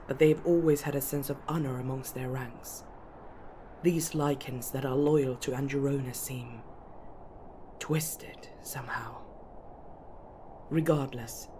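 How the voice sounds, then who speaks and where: A woman voicing a dignified, proud elven lady who speaks formally and in measured tones. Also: extremely low pitch, dark tone, slight background noise. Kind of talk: ASMR fantasy roleplay.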